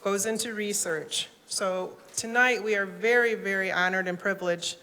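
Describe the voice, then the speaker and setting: A woman introducing a guest speaker at a public fundraising event in a warm, gracious way. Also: mid-range voice, moderate pace. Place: city hall event room. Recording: reverberant hall, loud crowd and noise.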